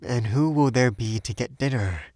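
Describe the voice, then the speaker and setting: A man's voice: medium pitch, moderate pace, a little mellow, no echo, studio quality, natural voice. A man voicing a cheerful young man who talks in a light, breezy way. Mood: gentle.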